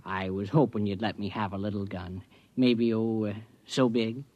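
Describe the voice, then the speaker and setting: A man's voice: on the low side, fairly even intonation, a little mellow, no echo, natural voice. Casting: man playing pious, troubled townsman, overly polite and hesitant, 1950s radio play, dull recording. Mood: meek, solemn. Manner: halting, overly polite, solemn. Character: pious, meek, troubled neighbor man with grim intent. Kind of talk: 1950s radio drama acting. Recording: muffled, dry vintage radio recording.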